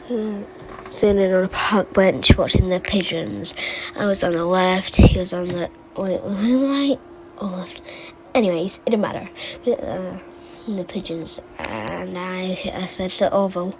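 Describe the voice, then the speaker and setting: A woman voicing a rambling, forgetful game storyteller, halting and digressive, stammering as the tale wanders. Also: medium pitch. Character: rambling, forgetful storyteller who muses about odd small memories. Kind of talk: fan recital of game character voice lines. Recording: amateur recording with clear background noise.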